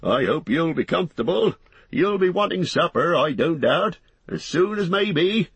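A fat, harried innkeeper, pitched high and swooping about as he goes. The voice is husky and the talk rambles on in folksy, breathless phrases, as in a storybook reading. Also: lively intonation, moderate pace, no echo, full character voice. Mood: flustered, chatty. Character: fat, bustling, harried, talkative middle-aged male innkeeper. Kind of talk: audiobook character voice.

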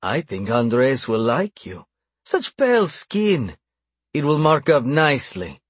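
Sadistic crime-boss brother for a thriller audiobook, acted by a male narrator: calm menace, mocking lilt, clean dry sound. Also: medium pitch, unhurried, breathy edge, dry recording. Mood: mocking delight. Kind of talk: audiobook dark thriller dialogue.

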